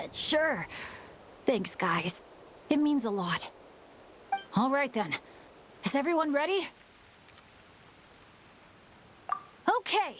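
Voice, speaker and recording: A woman voicing an earnest, selfless teenage boy adventurer in a video game, boyish and halting. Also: high voice, very animated, slight background noise, exaggerated voice. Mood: grateful. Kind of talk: video game character acting.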